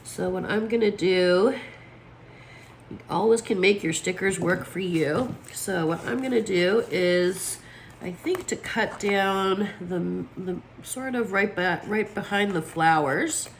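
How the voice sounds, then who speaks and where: A woman's voice: medium pitch, takes it slowly, a little hiss or hum. A woman with a froggy, allergy-worn voice chatting casually as she sets up her planner, with plenty of fillers. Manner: chatty, lots of fillers, talks while placing stickers. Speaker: planner vlogger. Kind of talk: casual chat while crafting.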